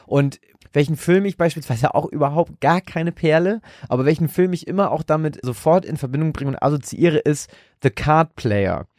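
A man's voice: fairly high pitch, moderate pace, a little mellow, no room sound, clean studio sound. A man chatting casually as a podcast co-host. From Germany. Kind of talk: casual chat.

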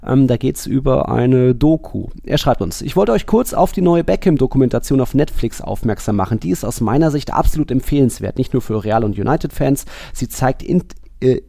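A man's voice: mid-range voice, lively intonation, a little fast, vocal fry, warm tone, no echo, studio quality. A man hosting a football podcast, talking casually and informally in a chatty way. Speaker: podcast host.

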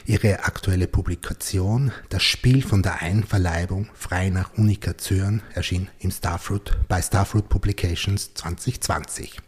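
Low husky male podcast host. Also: fairly even intonation, a little mellow. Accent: Austrian German. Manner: measured, reads a long list slowly. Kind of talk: literary interview, reading a guest bio.

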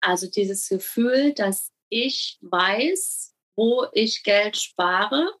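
Woman, middle pitch, measured, repetitive, casual answer. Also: a bit bright. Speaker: course graduate.

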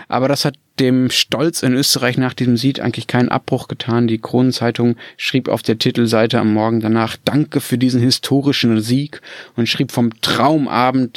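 A man's voice: medium pitch, dry recording. German male podcast host, dry close sound. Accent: German Standard German. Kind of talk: conversational presenting, anecdotes and discussion.

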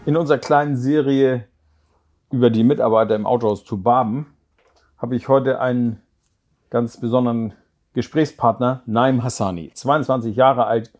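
A man's voice: medium pitch, fairly even intonation, normal speed, darkish tone, faint music behind. A man hosting a podcast interview who draws out his guest with questions and fills in answers. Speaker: podcast host. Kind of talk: conversational interview.

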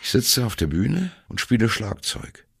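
Radio play monologue: older jazz musician, wry and self-doubting, gravel-throated bass, dry sardonic storyteller. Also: male voice, very animated, measured pace, background noise, heavily acted. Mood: dry, reminiscing. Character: wry, self-doubting ageing free jazz drummer reminiscing. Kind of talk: radio play monologue, first-person narration.

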